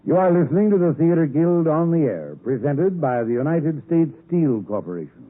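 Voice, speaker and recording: A man's voice: fairly high pitch, sing-song, normal speed. Male radio announcer, vintage fifties delivery, formal, muffled narrow-band recording.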